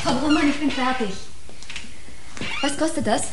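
An actress plays an earnest, eager young woman in a radio crime drama. Her voice sits fairly high and has an open, imploring keenness. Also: moderate pace, slight background noise, natural voice, rough recording. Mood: polite.

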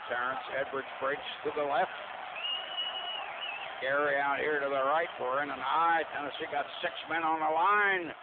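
A man's voice: a little high, fairly even intonation, normal speed, a loud crowd. A man doing live radio play-by-play of American football, partisan and speaking in terse bursts. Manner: partisan, terse bursts, says "we" for the home team. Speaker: play-by-play sports announcer. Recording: tinny band-limited radio broadcast, noisy.

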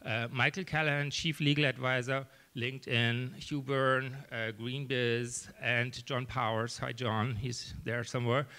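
A German-accented man in his thirties gives an earnest startup pitch into a handheld mic, scattering "um"s as he goes. His voice is bright and forward, sitting at an average pitch for a man. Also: measured pace, hardly any pauses. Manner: earnest, steady, many "um"s. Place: small event room, indoors.

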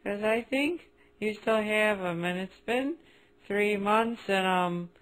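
A man's voice: high voice, takes it slowly, a bit bright, poor audio. A man voicing a timid, gloomy cartoon pushover, hesitant and apologetic in a phone message. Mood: meek, hesitant.

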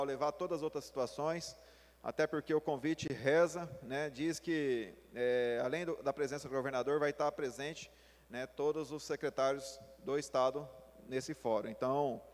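A man in his thirties gives a formal speech as a city councillor, stopping and restarting his phrases often. His voice sits at an ordinary middle pitch. It is picked up by a podium microphone and rings with the long reverb of a council chamber. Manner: halting, repeats words and fills with "né". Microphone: podium gooseneck mic.

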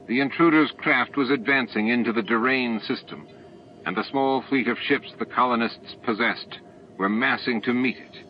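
A man's voice: medium pitch, normal speed, slight background noise. A man reading a novel aloud as an audiobook narrator, in scripted storytelling style. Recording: dull lo-fi tape audio with music. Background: added music and sound effects.

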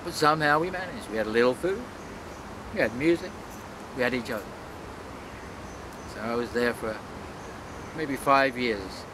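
Casting: man, 60s, reflective interviewee, deliberate with pauses, recorded outdoors with clear background noise. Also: mid-range voice. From London, England. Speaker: world traveler being interviewed. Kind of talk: reflective personal storytelling to camera. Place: outdoors in a leafy city park.

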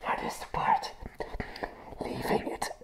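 A young man playing a crazy, jittery circus clown in a whispered ASMR roleplay, haltingly repeating his words with nervous apology in his manner. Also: natural voice. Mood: unhinged, intent. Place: small room with a plain grey wall. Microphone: close to the mic and camera.

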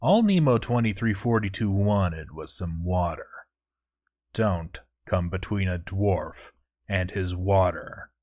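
A man voicing a proud, stern dwarf overseer in formal, measured, scripted storytelling narration. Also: sits low, lively intonation, a little mellow, dull audio, exaggerated voice. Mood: grave. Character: proud, stern male dwarf fort overseer, grandly dutiful. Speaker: narrator reading a fort overseer's journal.

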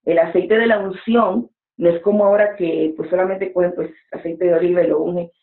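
A woman's voice: on the low side, steady tone, brisk, creaky. A woman in her forties, a preacher speaking as an interview guest, earnest and exhorting, talking from a room at home over a webcam call. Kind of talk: motivational interview talk. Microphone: webcam on a video call. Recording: echoey room, phone-like sound.

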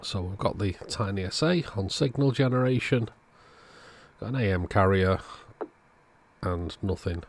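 A man, host of an electronics repair video, calmly thinking aloud as he methodically works on a radio at his workbench. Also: on the low side, unhurried. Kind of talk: casual narrated repair walkthrough.

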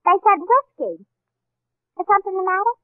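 A gushing, scatterbrained young secretary with a high voice. She muddles her big words in comic 1940s radio style, on a tinny old recording. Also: animated, measured pace, no room sound. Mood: gushing, flustered. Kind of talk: 1940s radio comedy acting.

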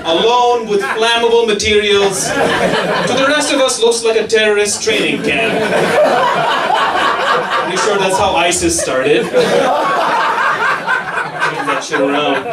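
A young man doing a stand-up set on a club stage with a handheld mic, delivering mock-authoritative rules and quick asides. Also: high-pitched, heavy background noise. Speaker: stand-up comedian. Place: comedy club stage, audience laughing. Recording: echoey club from far off, loud audience laughter.

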